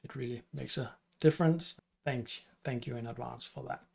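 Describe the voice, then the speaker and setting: A middle-aged man with a Danish accent, hosting his channel and explaining at an unhurried pace with some fillers and restarts. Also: mid-range voice, creaky, a little mellow, husky. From Denmark. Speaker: channel host and teacher. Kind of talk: casual explainer talk to camera.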